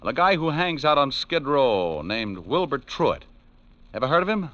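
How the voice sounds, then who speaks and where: An actor playing a wry, hard-boiled private detective, dry and sardonic, in a 1940s radio noir drama. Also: male voice, mid-range voice, sing-song, normal speed, fairly bright tone, dry recording. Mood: businesslike. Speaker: lead actor and narrator. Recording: dull vintage radio recording.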